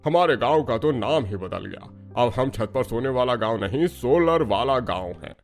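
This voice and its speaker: A man's voice: mid-range voice, animated, moderate pace, soft background music, natural voice. Man acting a hearty, swooping village headman. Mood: jovial, proud. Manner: folksy, exclaiming, addresses everyone as brother. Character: hearty older village headman, folksy and decisive. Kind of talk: Hindi cartoon dialogue acting.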